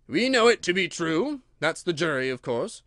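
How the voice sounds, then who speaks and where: A man's voice: a little high, very animated, moderate pace, fairly bright tone, dry recording, studio quality. A man voicing a pompous, self-satisfied playing-card king in a storybook, pondering aloud with great self-importance. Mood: pondering, triumphant.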